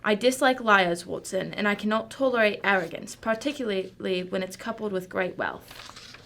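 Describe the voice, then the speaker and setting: Woman doing a cool clever lady detective in a radio play, medium pitch, very proper, kinda superior. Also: moderate pace, fairly bright tone. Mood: assured. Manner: crisp, formal, composed, condescending. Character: cool, imperious female detective, shrewd and unflappable. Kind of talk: radio drama acting.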